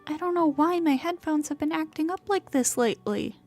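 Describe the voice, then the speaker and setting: A woman's voice: high-pitched, brisk, darkish tone. A woman live streamer reacting casually and reading along while she plays a video game. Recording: game music behind voice.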